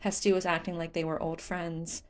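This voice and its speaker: A woman's voice: on the low side, level tone, normal speed. A woman reading scripted story narration as the narrator of a fan fiction audiobook.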